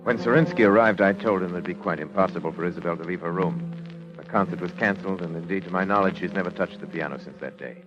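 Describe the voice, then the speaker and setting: An actor playing a controlling, calculating husband in a 1940s radio drama, speaking in a measured, formal, deliberate way. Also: male voice, deep voice, lightly acted. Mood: cold, formal. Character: controlling, coolly calculating husband narrating his story. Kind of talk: 1940s radio drama acting, first-person narration. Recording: dull vintage 1940s radio recording, music behind.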